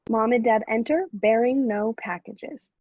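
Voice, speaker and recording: A woman's voice: fairly high pitch, a little slow. A woman reading stage directions as scripted narration for a play, on dull online audio.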